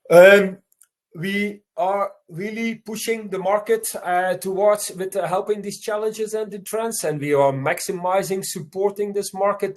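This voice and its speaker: A man from Belgium with a Belgian accent, English not his first language, giving an online conference presentation over a video call, halting, with many fillers and restarts. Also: fairly high pitch.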